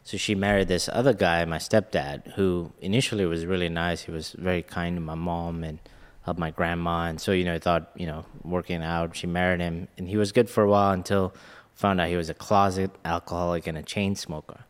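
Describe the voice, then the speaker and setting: A man's voice: low-pitched, fairly even intonation, a little fast, hardly any pauses, clear, resonant tone, in a large hall. A man in his late thirties, born in India and raised in Ghana, earnestly telling his life story as a podcast guest. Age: late 30s. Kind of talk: casual interview storytelling.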